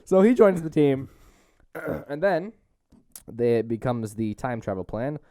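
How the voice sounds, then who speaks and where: A man's voice: medium pitch, very animated, unhurried, warm tone, dry recording, clean studio sound. A man hosting a podcast, chatting casually about a movie as he works through a plot summary. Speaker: podcast host.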